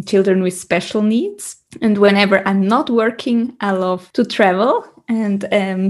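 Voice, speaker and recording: Casual interview guest: woman, very unhurried, searching for words. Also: medium pitch. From Switzerland. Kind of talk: casual interview talk in a second language.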